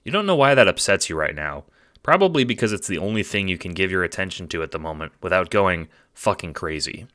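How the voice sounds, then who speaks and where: Male narrator, audio drama: low, bright and forceful, wry dramatic storyteller. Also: animated, fast. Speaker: narrator and producer. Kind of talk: scripted second-person audio drama narration.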